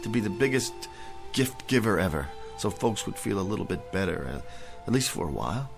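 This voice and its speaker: Deep-voiced, kindly old Santa Claus. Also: male voice, big pitch swings, fairly quick, creaky, faint music behind. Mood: wistful, sincere. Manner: gentle, avuncular, folksy, musing. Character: kindly, weary old street Santa Claus, a big-hearted man. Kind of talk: radio drama acting.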